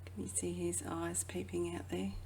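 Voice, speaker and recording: A woman who works as a children's educator reads a picture book aloud expressively, drawing out phrases and dropping into hushed asides. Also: on the low side, flat delivery, normal speed, gravelly, low-quality recording. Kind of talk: children's storybook read-aloud.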